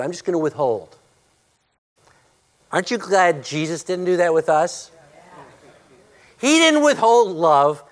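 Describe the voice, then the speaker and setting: A male pastor preaching a sermon and praying, with a loud, forceful voice, emphatic when preaching and hushed in prayer. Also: on the high side.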